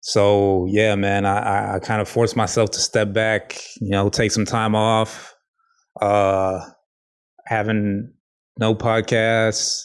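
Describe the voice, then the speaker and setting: Male podcast host, low clear voice, very slow halting delivery, slight lisp, informal monologue. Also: flat delivery. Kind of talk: casual solo podcast monologue.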